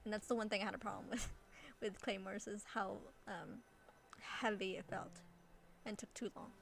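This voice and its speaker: A woman chatting casually while streaming a game, giggly and halting as she restarts her thoughts. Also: medium pitch, fairly even intonation, creaky. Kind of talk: casual chat while playing a video game. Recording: faint background noise.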